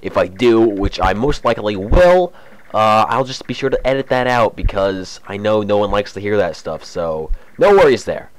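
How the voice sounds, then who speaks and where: A young man with a cold and a slightly raspy voice, chatting casually as he narrates a game walkthrough. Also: fairly low pitch, expressive, normal speed. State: has a cold. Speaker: let's play host. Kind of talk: casual gameplay commentary.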